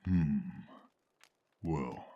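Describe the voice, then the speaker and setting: A man playing a deep, grim old lord. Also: lively intonation, extremely slow, vocal fry, muffled recording, full character voice. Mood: blunt. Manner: terse, matter-of-fact. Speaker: nobleman Lord Bardock.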